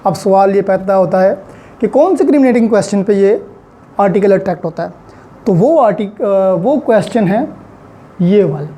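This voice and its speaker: A man with a Pakistani accent giving an explanatory law lecture, deliberately repeating and stressing key points and mixing in English legal terms. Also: sits high, expressive, dark tone.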